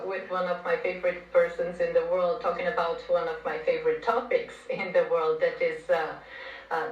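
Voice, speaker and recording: A woman from Brazil, a podcast guest talking in a conversational, halting way with many fillers and restarts. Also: on the low side, muffled recording. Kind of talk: conversational interview. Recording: echoey room, distant from mic.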